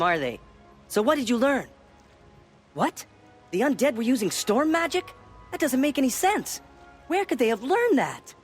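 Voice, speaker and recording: Very high-pitched, eager young male wizard. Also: full character voice. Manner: animated, bookish, expressive. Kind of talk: video game character acting.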